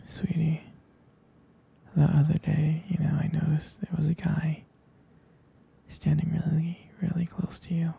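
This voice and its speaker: A man playing a devoted, jealous, protective boyfriend in ASMR roleplay, speaking in a breathy, husky whisper with a hesitant, intimate, tender delivery. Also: fairly high pitch, monotone, unhurried, pauses often, mellow, full character voice. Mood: tender, uneasy.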